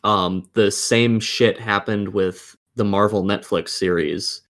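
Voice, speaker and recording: A man with a fairly low voice talks casually as a podcast co-host. He lays out his opinions in an explanatory way, with some offhand swearing. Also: fairly even intonation, takes it slowly, fairly bright tone. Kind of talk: casual gaming podcast discussion.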